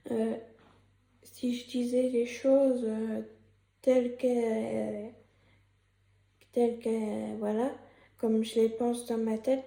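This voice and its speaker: A woman's voice: a little high, creaky, mellow, roomy sound. A young woman with a cold and a sore throat, talking in a hesitant, casual way with many fillers and restarts, partly reading from a script. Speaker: YouTuber. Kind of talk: casual vlog commentary, partly read from a script.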